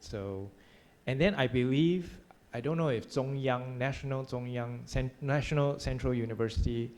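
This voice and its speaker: A man lecturing at a university, chatting casually over a hybrid video call, talking haltingly with many fillers and laughing often. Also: mid-range voice, darkish tone. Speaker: lecturer hosting the class. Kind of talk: casual chat. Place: university room.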